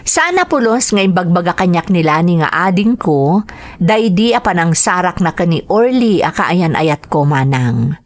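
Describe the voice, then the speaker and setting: A woman's voice: fairly low pitch, animated, moderate pace, natural voice. A woman with an Ilocano accent playing a dutiful, earnest eldest daughter in a sentimental radio drama. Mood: reflective narration. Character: dutiful eldest daughter, young woman going overseas to work. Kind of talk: Ilocano radio drama acting and first-person narration.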